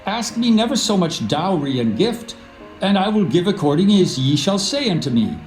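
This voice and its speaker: An actor playing an eager, persuasive young prince of ancient times in a dramatised scripture reading, earnest and declamatory. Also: male voice, high-pitched, very animated, moderate pace. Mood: earnest pleading. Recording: roomy echo, music behind.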